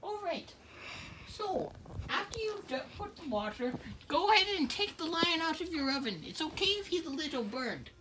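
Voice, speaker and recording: A high-voiced performer voicing an elderly, muddled grandma teddy bear who gives halting cooking instructions in an amateur puppet skit. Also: sing-song. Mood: muddled, matter-of-fact. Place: small bedroom, indoors. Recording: noisy home recording with clicks.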